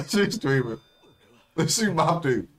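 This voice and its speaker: A man's voice: fairly high pitch, big pitch swings, slow pace. A young American man chatting casually and slangily as he reacts to a show. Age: young adult. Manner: slangy, informal. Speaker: anime reaction host. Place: small indoor room, seated.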